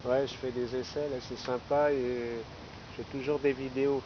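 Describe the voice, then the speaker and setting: A man's voice: medium pitch, level tone, normal speed. A man making rambling, casual amateur radio chat outdoors, often adding small tags to his phrases. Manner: chatty, rambling, tagging phrases with hein and là. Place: outdoors in a city park. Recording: dull, lo-fi outdoor recording with noise.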